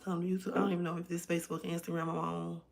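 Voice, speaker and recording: A hoarse woman hosting a casual livestream from home, chatting directly to her viewers. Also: on the low side, flat delivery, rapid-fire. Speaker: livestream host.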